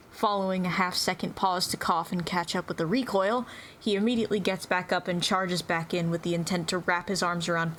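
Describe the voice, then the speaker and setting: A woman's voice: on the low side, fairly bright tone. A woman reading scripted narration dramatically, with intense, theatrical phrasing. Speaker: player reading own turn in a dramatic roleplay reading. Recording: clearly noisy background.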